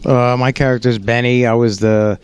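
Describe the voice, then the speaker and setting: Easygoing actor, laughing often. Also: male voice, mid-range voice, little pitch movement, moderate pace, clean tone, dry recording. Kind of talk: red carpet interview. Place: red carpet at a cinema.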